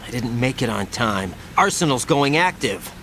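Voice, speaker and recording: A man's voice: medium pitch, very animated, normal speed, noisy background. An actor playing an earnest young special agent in a video game, intense and clipped in his delivery. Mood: urgent, determined. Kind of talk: dramatic video game cutscene acting.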